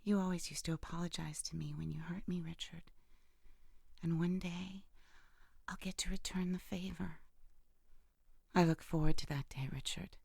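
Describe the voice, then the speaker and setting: An actress playing a bitter, wounded imprisoned wife in an audio drama monologue, speaking in a halting, intimate, emotionally charged way with a creaky voice. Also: female voice, fairly low pitch, steady tone, darkish tone, no echo, studio quality. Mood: bitter, menacing. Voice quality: gravelly, creaky. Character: bitter, wounded imprisoned wife who killed her abusive husband.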